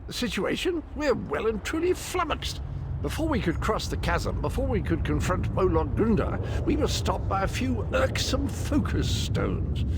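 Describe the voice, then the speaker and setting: A man's voice: fairly high pitch, noisy background. A man playing an eccentric, cheerfully boastful old British knight in a video game, speaking in a whimsical, theatrical manner with quaint turns of phrase. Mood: exasperated. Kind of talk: video game character acting.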